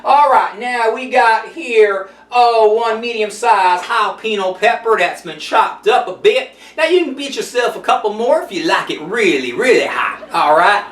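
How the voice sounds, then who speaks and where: A man's voice: high voice, expressive, normal speed, few pauses, fairly bright tone. Sassy rambling grandma cook, played by a man, breathless and folksy; distant mic, echoey room. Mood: chirpy. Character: chatty sassy old grandma cooking host. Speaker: cooking show host. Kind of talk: comedic character monologue. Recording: roomy sound, mic at a distance.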